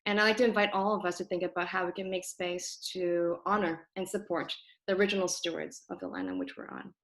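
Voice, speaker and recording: Soft-spoken woman hosting a video call. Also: on the low side, animated, brisk. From Toronto, Canada. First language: Cantonese. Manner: thoughtful, with frequent ums and self-corrections. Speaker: host of a community video call discussion. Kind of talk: casual, earnest introduction to an online group talk. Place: her apartment. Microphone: computer on a video call.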